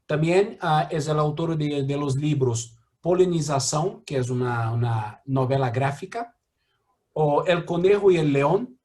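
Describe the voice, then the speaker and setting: Male moderator, Brazilian accent, slightly high voice, halting delivery full of fillers, video call. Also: darkish tone. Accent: Brazilian Portuguese. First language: Portuguese. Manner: halting, many fillers and restarts. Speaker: mediator. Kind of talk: introducing a guest speaker.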